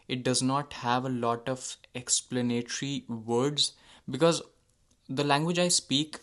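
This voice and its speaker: A man's voice: mid-range voice, fairly even intonation, a little slow, fairly bright tone. A young man whose first language is Hindi, talking casually to his channel's viewers, with frequent fillers and self-corrections. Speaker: channel host. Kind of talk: casual unscripted monologue.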